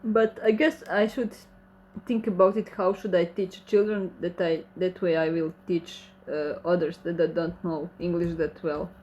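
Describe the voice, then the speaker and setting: A woman's voice: lowish voice, fairly even intonation, measured pace, darkish tone, muffled recording. A woman with a Croatian accent, who speaks Croatian natively, hosts a casual livestream Q&A. She reads viewer comments aloud and answers them in friendly, slightly broken English. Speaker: channel host reading chat questions.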